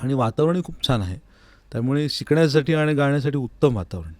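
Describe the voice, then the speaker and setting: A man's voice: mid-range voice, animated, fast, darkish tone, no room sound. A man answering interview questions in an earnest, conversational way, repeating words for emphasis. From Maharashtra, India. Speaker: interview guest, classical vocalist. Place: recording studio.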